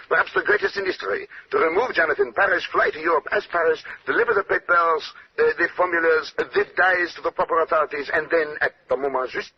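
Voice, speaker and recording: An actor playing a brilliant, self-assured Belgian detective with a French-speaking accent, speaking precisely and courteously in old-time radio drama style. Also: male voice, a little high, slightly rough, full character voice. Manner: precise, lecturing, punctuates points with questioning "eh?". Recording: dull, noisy old radio recording.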